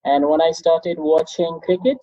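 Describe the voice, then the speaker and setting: Pakistani-accented man, guest teacher, animated and reflective, husky tone, dull lo-fi sound. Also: fairly high pitch, normal speed, no room sound. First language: Pashto. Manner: animated, reflective, often laughs at himself. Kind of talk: conversational interview.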